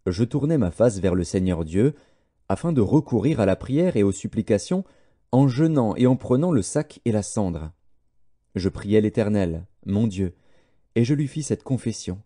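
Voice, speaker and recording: A man reads scripture aloud as an audiobook narrator. His voice is very dark and mellow, set at a middling pitch. The delivery is measured and solemn, in the style of scripted narration.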